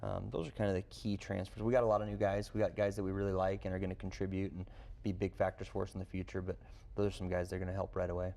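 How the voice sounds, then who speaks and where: A man's voice: sits low, level tone, very fast, gravelly, mellow. A man, a coach, giving casual answers as a guest in a studio sports interview.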